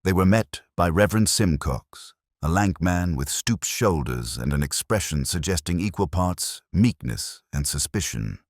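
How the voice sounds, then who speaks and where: A very deep-voiced man narrates a detective story in a measured, literary way. His pitch moves freely as he goes, and the sound is dry and close. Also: animated, no echo.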